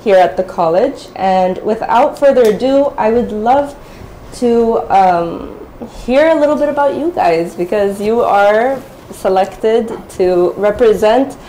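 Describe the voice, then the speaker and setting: A woman's voice: medium pitch, unhurried, mellow. A woman speaking as the moderator of a live-streamed student panel discussion. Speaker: moderator, MBA student and podcaster. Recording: roomy echo, faint background noise.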